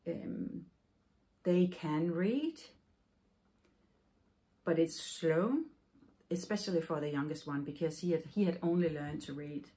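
A woman in her forties from Denmark, a native Danish speaker, hosting a knitting podcast and telling a personal story in a thoughtful, halting way with many fillers. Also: deep voice, warm tone. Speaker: podcast host. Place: home room with yarn shelves, indoors.

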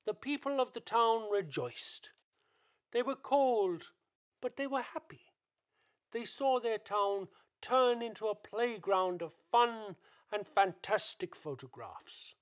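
A man narrates a radio play from a script. His voice sits high, and he takes his time, telling the story slowly and dramatically. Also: expressive.

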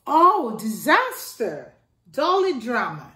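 A middle-aged woman reading a children's picture book aloud, slow and expressive, with her voice leaping up for exclamations. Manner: slow, expressive read-aloud, jumping high for exclamations and a toy's voice. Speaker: children's storytime reader. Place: living room by a staircase, indoors. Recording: echoey room.

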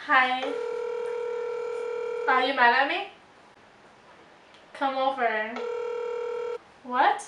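A woman's voice: high voice, extremely slow, long pauses. A young woman chatting casually and playfully in a vlog. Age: young adult. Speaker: vlogger. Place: bedroom, indoors. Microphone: camera a few feet away. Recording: roomy, distant mic, faint noise.

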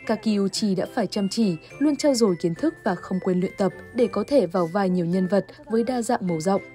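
A woman's voice: medium pitch, brisk, dark tone, soft background music. A woman reading scripted narration for a television news report.

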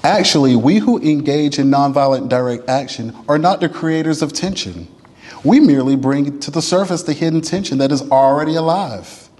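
A man reads a historic letter aloud in a measured, oratorical way, as a reader in a church. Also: a little high, darkish tone. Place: church sanctuary. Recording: long reverb of a large room.

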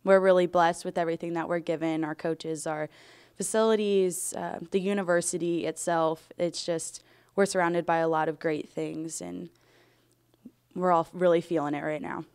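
A young woman with a somewhat low pitch and a smooth, clear voice. She is soft-spoken and a little hesitant, speaking into a handheld mic. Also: fairly even intonation, normal speed. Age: 20s. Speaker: college softball player.

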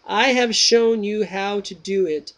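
A man reads out Socrates, an older philosopher who questions a younger man with slow patience. Each question is weighed out carefully. The voice sits high for a man and drags out its phrases. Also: a bit bright, low-quality recording. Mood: probing.

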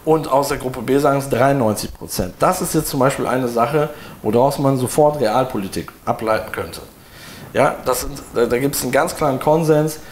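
A man's voice: medium pitch, moderate pace, a little hiss or hum. German man explaining results with fillers. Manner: explanatory, loose, with many fillers and restarts. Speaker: presenter explaining poll results. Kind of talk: walking viewers through a results screen. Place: room with window blinds, indoors.

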